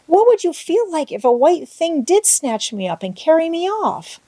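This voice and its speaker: A woman voicing an excitable, imaginative young girl with a breathless, dramatic, talkative manner in a storybook reading. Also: extremely high pitch, moderate pace, no room sound, pitched above the performer's own voice. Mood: tearful pleading. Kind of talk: storybook character voice in audiobook.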